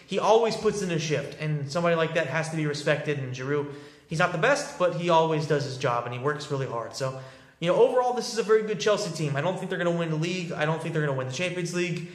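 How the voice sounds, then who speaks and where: A man's voice: a little high, expressive, fast. A man in his twenties giving casual, unscripted sports analysis to camera in a conversational way, repeating words for emphasis. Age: 20s. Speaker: sports commentator giving a post-match reaction. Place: small bare-walled indoor room. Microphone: phone or webcam at arm's length. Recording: echoey small bare room.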